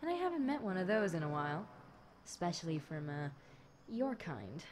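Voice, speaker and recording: A woman's voice: fairly low pitch, very animated, normal speed, darkish tone. A woman voicing a bored, chatty demon girl in an audio roleplay, casual and teasing, talking straight to the listener. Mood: relaxed, friendly. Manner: casual, teasing, conversational with light chuckles. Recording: clear background noise.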